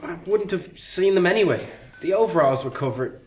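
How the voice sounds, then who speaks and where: Radio play workmate male actor: shifty, frightened, halting speech, slightly high pitch, echoing recording. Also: lively intonation, a little mellow, soft background music. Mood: nervous, evasive. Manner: halting, stammering. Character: evasive, frightened male co-worker hiding something.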